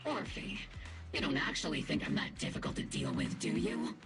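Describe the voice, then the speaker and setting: A woman playing a brisk, bossy squad captain in a video game, with a gravelly voice. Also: very deep voice, huge pitch swings, over music, heavily acted. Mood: brisk, commanding.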